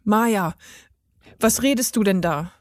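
A harsh, impatient mother in a storybook as a woman narrator plays her. Her voice sits at a medium pitch and is curt and commanding. The recording is dry and close. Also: takes it slowly, no echo, lightly acted. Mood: shaken, stern.

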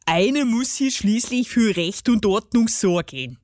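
A cheeky, ill-tempered male garden gnome from a storybook acted out by a woman narrator. He scolds in rough everyday speech with a bandit's swagger. The sound is dry and close. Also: fairly high pitch, lively intonation, takes it slowly, a little mellow, no room sound. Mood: soothing, smug. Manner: scolding, gruff colloquial speech.

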